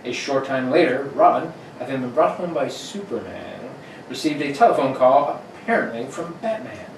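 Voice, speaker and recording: A man's voice: medium pitch, expressive, normal speed, creaky. Man as dramatic old radio narrator, echoey. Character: dramatic, emphatic 1940s superhero serial narrator. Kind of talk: 1940s radio acting, amateur. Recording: echoey room, distant mic, noisy.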